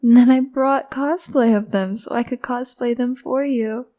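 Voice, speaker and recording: A woman's voice: a little high, fairly even intonation, moderate pace, warm tone, close to an everyday voice. A woman playing a smug, possessive kidnapper sister in a comedic audio roleplay, condescending and cooing, often close to a whisper. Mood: scornful, possessive.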